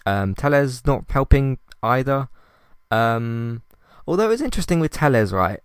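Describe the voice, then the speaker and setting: A man hosting a solo podcast, talking in a calm, conversational way with frequent fillers and restarts. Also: medium pitch, unhurried, clean tone, no room sound, studio quality. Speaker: podcast host.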